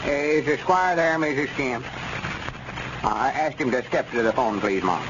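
Actor playing a folksy Southern storekeeper on crackly old radio. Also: male voice, mid-range voice, animated, moderate pace, natural voice. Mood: cautious, polite. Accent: rural Southern US. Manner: hesitant, drawling, folksy. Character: folksy, cautious small-town storekeeper, an exasperated peacemaker. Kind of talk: 1930s radio comedy acting. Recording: muffled, very noisy 1930s radio recording.

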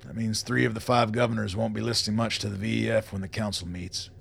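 An actor playing a weary, gruff old colonel in a radio play, with a gravelly voice and a blunt, folksy, wry way of talking. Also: male voice, lowish voice, fairly even intonation, fast, fairly bright tone, full character voice. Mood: wry, shrewd. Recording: noticeable background noise.

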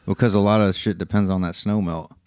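A man co-hosting a casual podcast, low-key and mumbly, swearing offhandedly. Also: fairly even intonation, quick pace, a little mellow, no echo, studio quality. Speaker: podcast co-host.

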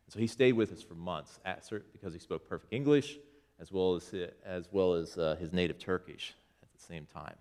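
Male church elder, deep low voice, casual slideshow report with many restarts. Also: brisk, darkish tone. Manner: conversational, with many restarts, pointing at photos. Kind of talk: informal presentation to a congregation.

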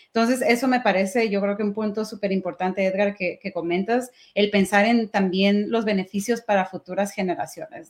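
A young adult woman speaking as an interview host, conversational and engaged, often adding short tags that seek agreement. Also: mid-range voice.

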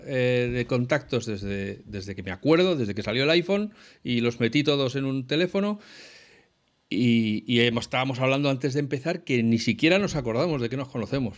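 Man, middle-aged, podcast host, chatty, jokey, encouraging. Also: mid-range voice. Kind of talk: podcast interview.

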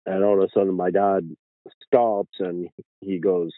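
A man with an Irish accent, a guest telling his story slowly with many fillers and restarts. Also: low-pitched, little pitch movement, dry recording, phone-quality sound. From Ireland.

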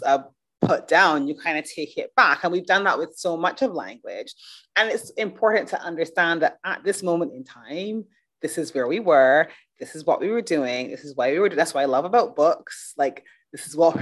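A woman's voice: low voice, lively intonation, moderate pace. A middle-aged woman from Barbados hosting a podcast interview, chatting casually and thinking aloud with restarts. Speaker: podcast host.

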